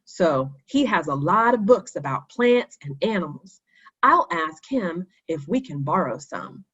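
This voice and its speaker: A woman's voice: lowish voice, sing-song, unhurried, fairly bright tone, breathy edge, highs cut off, exaggerated voice. A woman voicing an eager, bossy schoolboy with an emphatic, take-charge manner in a children's storybook.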